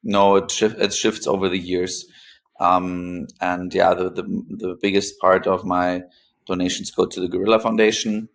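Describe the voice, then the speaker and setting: Deep, gravelly man speaking hesitantly. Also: level tone. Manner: hesitant, pausing often between phrases. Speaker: podcast guest, philanthropist. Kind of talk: interview conversation, recounting own experience.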